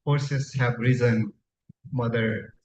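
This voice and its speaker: A man from Nepal whose native language is Tamang, a poet giving a live reading with a deliberate, measured manner and frequent pauses. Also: mid-range voice, fairly even intonation, darkish tone. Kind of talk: live poetry reading with spoken introductions. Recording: dull, echoey room recording, faint noise.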